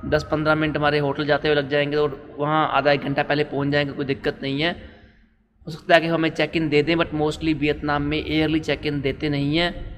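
A man's voice: on the high side, fairly bright tone, big-room sound, a little hiss or hum. A man in his thirties chatting casually to viewers in a travel vlog, recorded on a phone in a station waiting area. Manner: chatty, addresses viewers as friends. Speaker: travel vlogger.

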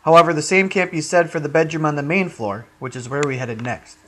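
A man's voice: a little high, a little fast, a bit bright. Man narrating with faint background noise. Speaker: paranormal investigator and narrator. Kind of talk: scripted narration of a ghost hunt.

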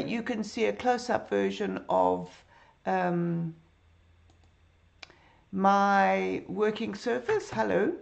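A middle-aged woman teaches a drawing lesson over a live stream from her home. Her voice is hoarse and gravelly, with a lot of fry. She talks slowly and chattily, fills her pauses with ums and greets her viewers as they arrive. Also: medium pitch. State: hoarse, croaky. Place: home room with bookshelves. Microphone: computer webcam.

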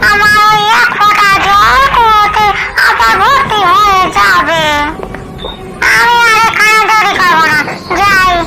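Nasty cartoon witch, super high voice, drawn out and whiny, really noisy audio. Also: fairly even intonation, creaky, a loud crowd, heavily acted. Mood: gloating. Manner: theatrical, drawn-out cackling and wailing. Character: wicked thirsty witch, cackling, menacing and whining. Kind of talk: animated fairy tale voice acting.

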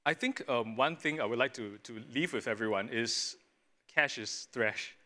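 Young guy on a finance panel, bright sharp voice, explains things step by step, lapel mic. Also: mid-range voice, normal speed. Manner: fluent, explanatory, with small restarts. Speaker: investment strategist panelist. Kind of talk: panel discussion answer. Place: studio stage set.

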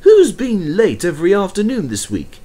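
Storybook role: haughty, fussy male engine, high and lively, put on by a man. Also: exaggerated voice. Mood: cross, haughty. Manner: brisk, cross, self-important. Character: proud, fussy, impatient male tank engine.

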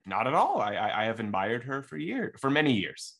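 A man playing a nervous young suitor in a radio mystery, stammering and halting as he tries to please. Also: medium pitch, very animated, vocal fry, a bit bright, heavily acted. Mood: nervous, defensive. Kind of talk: radio mystery acting, live online table read.

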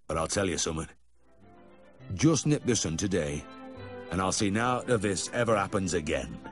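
A man's voice: deep voice, sing-song, moderate pace, soft background music. A man voicing an apologetic, earnest farmer in a storybook, drawling in rustic dialect. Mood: resolute.

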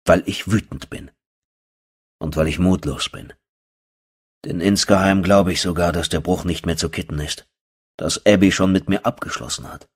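A man's voice: deep voice, steady tone, normal speed, pauses often, husky. A man narrating a first-person horror audiobook as a storyteller.

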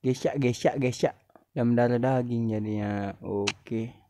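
A young man from Indonesia, hoarse from a cough, chatting casually to viewers while commenting on a game replay. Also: mid-range voice, fairly even intonation, measured pace, dark tone, slight background noise. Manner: slangy, chatty, keeps addressing viewers. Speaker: gaming YouTuber.

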